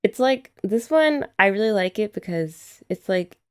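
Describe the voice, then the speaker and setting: A woman's voice: medium pitch, lively intonation, measured pace, clean tone. A young woman chatting playfully to a partner in an ASMR roleplay, teasing and laughing often. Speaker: ASMR creator. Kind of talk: scripted ASMR roleplay as a girlfriend.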